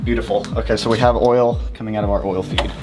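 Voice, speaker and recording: A man's voice: on the low side, steady tone, fast talker. A man casually explaining car repair steps as he works, upbeat and plain-spoken, in a garage. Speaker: car modding vlogger. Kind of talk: casual hands-on narration. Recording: clear background music over voice.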